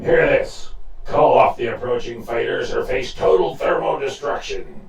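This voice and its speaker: A man's voice: mid-range voice, measured pace, vocal fry, distant mic, a little hiss or hum, pitched above the performer's own voice. An older man voicing stern, commanding officials and invaders over comic-book radio, with heavy echo. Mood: menacing. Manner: stern, declamatory orders. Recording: heavily echoing voice with music behind.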